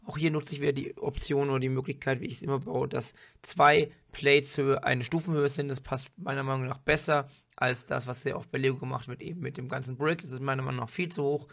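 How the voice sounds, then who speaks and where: A man with a hoarse voice from a cold, hosting his building vlog in a casual, chatty way and correcting himself as he goes. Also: mid-range voice, fairly even intonation, quick pace, a bit bright. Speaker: vlog host and model builder. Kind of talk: casual chat and building voice-over.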